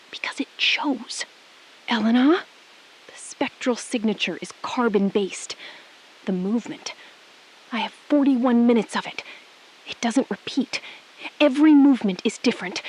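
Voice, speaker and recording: A woman playing a resolute, shrewd astronaut in an audio drama, speaking in a controlled, deliberate way and repeating phrases for emphasis. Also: fairly high pitch, slightly rough, poor audio. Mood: grave, precise. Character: resolute, shrewd female astronaut exposing a cover-up. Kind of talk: scripted audio drama, hushed dramatic phone-call acting.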